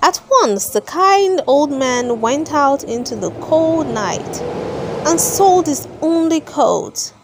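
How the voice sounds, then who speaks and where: A woman reads a storybook aloud for children. Her voice is high and very lively in its melody. She goes slowly and deliberately, phrase by phrase, as an expressive storyteller. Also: noisy background. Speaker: storybook reader.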